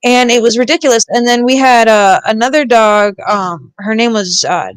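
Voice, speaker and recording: A woman's voice: on the high side, moderate pace, smooth, no echo. A woman telling anecdotes in casual podcast conversation. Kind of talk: casual chat, storytelling.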